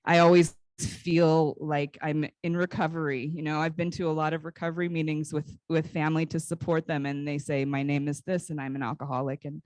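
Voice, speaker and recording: An American woman in her forties giving an informal public talk in a conversational, personal storytelling way, with frequent pauses. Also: low-pitched, little pitch movement, a little mellow, no echo. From Northern California, United States. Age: 40s. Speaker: guest speaker, basket weaver and cultural educator. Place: live talk at a conference.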